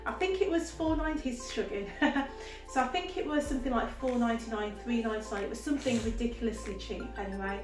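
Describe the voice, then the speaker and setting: A young woman with a Birmingham accent talks through her haul in a bright, chatty way, dotted with laughs and ums. Her voice sits a little high and sounds a step back from the camera in a roomy living room. Faint music plays underneath. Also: quick pace, distant mic. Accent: Birmingham (Brummie). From West Midlands, England. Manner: chatty and enthusiastic, laughs often, many ums. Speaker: vlogger, makeup artist. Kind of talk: casual haul video. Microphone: camera mic at a distance. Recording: roomy echo from off-mic.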